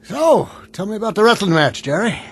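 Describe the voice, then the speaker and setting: A man's voice: high voice, big pitch swings, a little fast, soft background music. An actor playing a concerned father asking his son questions in a radio drama. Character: concerned middle-aged father.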